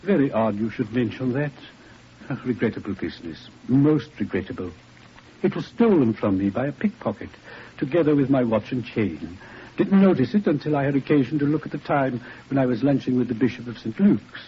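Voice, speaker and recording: A man's voice: mid-range voice, animated, brisk, close to an everyday voice. Man playing an elderly, fussy, dignified clergyman. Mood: mildly regretful. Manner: hesitant, fussy, clipped phrases. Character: dignified elderly English clergyman, mild and regretful. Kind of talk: 1940s radio acting. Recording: muffled noisy 1940s radio recording.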